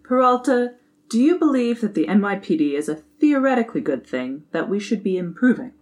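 A woman playing a stern male police captain in a formal, deliberate, deadpan way. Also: medium pitch, close to an everyday voice.

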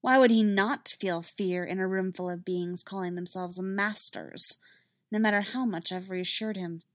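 A woman voicing a calm, protective mother who speaks quietly and firmly. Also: medium pitch, moderate pace, no echo, clean studio sound, natural voice. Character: calm, protective mother, firm under pressure.

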